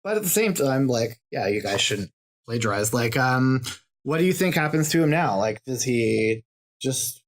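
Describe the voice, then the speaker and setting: A man's voice: mid-range voice, animated, normal speed. A man who is a guest on a podcast, chatting casually and thinking aloud with hesitant starts.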